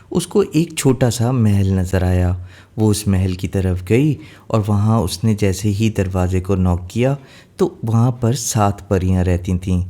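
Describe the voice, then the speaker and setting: A man's voice: on the low side, dark tone. A man narrating a scripted children's fairy tale in simple language, mixing in some English words. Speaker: storyteller.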